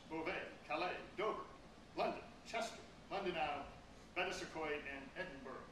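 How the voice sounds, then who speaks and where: A man giving a dramatic reading of a humorous fable at a public event. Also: fairly high pitch. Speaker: story reader. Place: public reading before an audience. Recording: echoey room, distant, clear background noise.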